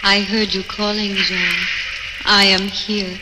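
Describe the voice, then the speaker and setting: Through heavy noise on an old radio recording comes a woman acting a beloved apparition. She is tender yet insistent, with a medium-pitched voice. The delivery is earnest, dramatic 1940s radio acting. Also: level tone, slow, natural voice. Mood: tender, questioning. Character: prisoner's beloved as imagined apparition, tender, urging. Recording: noisy old radio broadcast.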